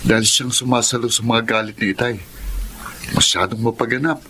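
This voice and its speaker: Bright-voiced, earnest Filipino elder brother, acted. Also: male voice, medium pitch, steady tone, fairly quick, a little hiss or hum, close to an everyday voice. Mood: concerned, resentful. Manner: earnest, grumbling. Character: disapproving grown son and concerned elder brother. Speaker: radio drama actor. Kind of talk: Filipino radio drama acting.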